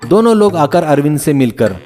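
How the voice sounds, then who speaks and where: A man's voice: a little high, very animated, brisk, darkish tone, faint music behind. A man narrating a scripted moral tale as a storyteller.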